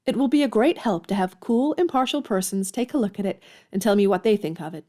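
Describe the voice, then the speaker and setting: A woman's voice: medium pitch, fast talker, warm tone, lightly acted. A woman playing a headstrong young writer in a period audio drama, outspoken and emphatic. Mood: earnest.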